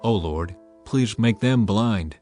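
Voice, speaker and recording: A man voicing a calm, commanding prophet who speaks in a firm, solemn manner. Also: on the low side, slow, soft background music. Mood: prayerful.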